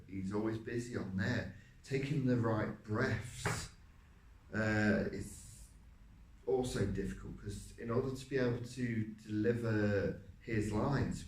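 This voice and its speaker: A man with a deep voice, a stage actor talking through a role, hesitant with many fillers. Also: darkish tone. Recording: roomy, from a distance.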